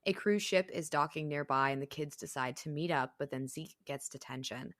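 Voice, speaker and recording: Southern woman co-host in her thirties, low bright voice, casual and swears a little. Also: moderate pace, dry recording, studio quality. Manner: chatty, animated, swears casually. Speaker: podcast co-host. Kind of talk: casual chat.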